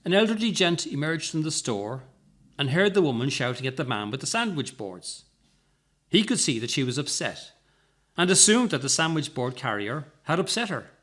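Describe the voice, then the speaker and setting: A man's voice: on the high side, very animated, moderate pace, a bit bright. A man narrating a scripted short story as its reader.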